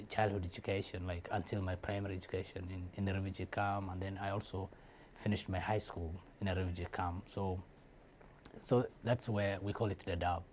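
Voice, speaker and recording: A man's voice: sits low, darkish tone, a little hiss or hum. A young man from Somalia telling his life story in a hesitant, unscripted way, with many fillers and restarts. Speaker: interviewee. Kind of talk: casual personal account.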